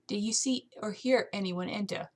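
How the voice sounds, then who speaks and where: A woman's voice: mid-range voice, moderate pace, lightly acted. A woman portrays a businesslike English policeman in a period storybook mystery. The delivery is formal and probing, with a skeptical edge and a put-on British accent. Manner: formal, inquisitive. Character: brisk, skeptical male police officer questioning servants.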